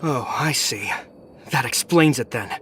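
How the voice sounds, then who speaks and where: A man's voice: mid-range voice, lively intonation, unhurried, a bit bright, slight background noise. A man playing a timid, earnest townsman in a video game, recounting things hesitantly. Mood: nervous recounting. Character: timid, easily cowed male townsman. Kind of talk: video game character acting.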